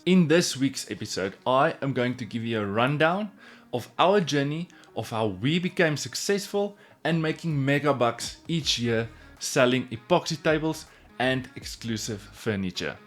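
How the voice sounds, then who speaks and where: A man from South Africa with a clear Afrikaans accent speaks straight to his viewers in a mid-pitched voice. He tells his story earnestly, circling back and repeating himself in loose, casual phrasing. Soft music plays faintly behind him. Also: expressive, normal speed. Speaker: woodworking YouTuber.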